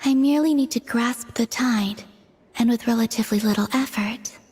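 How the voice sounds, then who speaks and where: A woman playing an otherworldly, serene young moon maiden in a video game, speaking in a soft, breathy, measured deadpan. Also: fairly high pitch, big-room sound, heavy background noise, natural voice. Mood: wistful, serene. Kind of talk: dramatic video game voice acting. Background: music.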